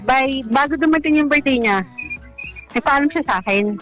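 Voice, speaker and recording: A woman's voice: high-pitched, moderate pace, a bit bright, soft background music, poor audio. A Filipino woman calling a radio show, telling a personal story in a casual, hesitant, self-deprecating way. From Philippines.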